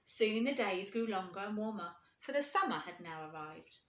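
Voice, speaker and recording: A woman reading a storybook to children, expressive and putting questions to her young listeners. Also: medium pitch, moderate pace. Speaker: primary school teacher reading aloud. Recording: echoey room.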